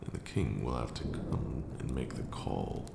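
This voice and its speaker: A man playing an ancient, brooding vampire lord in a slow ASMR roleplay monologue, hushed and grandiose, with measured archaic phrasing. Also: expressive, full character voice. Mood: calm, disdainful. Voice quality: very deep, gravelly, hushed. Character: ancient male vampire lord, brooding, cruel yet now weary. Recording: roomy echo, audible background noise.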